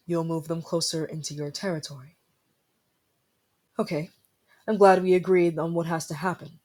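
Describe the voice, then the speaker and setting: A man playing a haughty, easily flustered young winter prince in a fantasy ASMR roleplay, speaking formally and imperiously with a breathy voice. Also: on the high side, a little mellow, natural voice. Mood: guarded.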